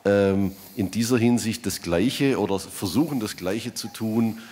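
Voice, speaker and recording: A man asking a spontaneous question from the audience, phrasing it carefully and haltingly. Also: on the low side, darkish tone. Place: large hall. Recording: echoey hall with background noise.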